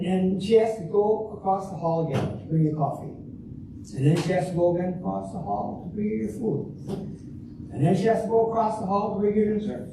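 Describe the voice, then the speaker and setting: An older man playing a stingy, scheming husband in an amateur comedy reading, halting and folksy as he repeats himself. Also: sits high, vocal fry. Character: stingy, scheming old husband at a diner counter. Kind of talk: amateur reader's theater comedy performance. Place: large echoey room, live stage reading. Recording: echoey hall from far off, noisy.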